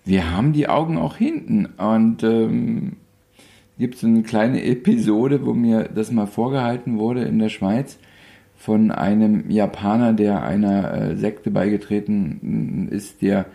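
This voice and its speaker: Deep-voiced man in his fifties, wry and rambling. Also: unhurried, creaky. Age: 50s. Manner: relaxed, rambling storyteller with wry humor and frequent hesitations. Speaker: photographer guest. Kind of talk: casual interview.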